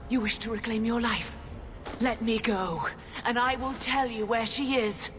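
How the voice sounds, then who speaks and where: A woman's voice: fairly high pitch, steady tone, a little slow, slight background noise, natural voice. Haughty female mage captor, voiced by a woman; cool bargaining tone, reverberant game audio. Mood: scheming. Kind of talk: video game voice acting.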